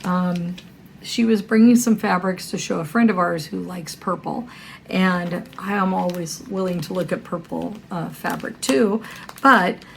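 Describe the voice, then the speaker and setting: A hoarse woman with a scratchy voice, chatting casually to camera about her stitching, rambling with many fillers and self-corrections. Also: on the low side, unhurried. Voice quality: scratchy, gravelly voice. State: hoarse from a cold. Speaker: cross-stitch vlogger.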